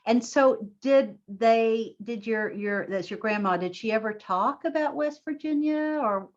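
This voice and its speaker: A woman's voice: on the high side, a little slow. Encouraging woman interviewer, muffled sound. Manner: warm, encouraging, with short affirmations. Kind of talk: oral history interview.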